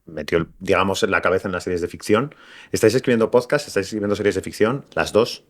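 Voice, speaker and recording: A man's voice: low voice, fast talker. A man with an accent from Spain, interviewing guests in a casual, conversational way as a podcast host.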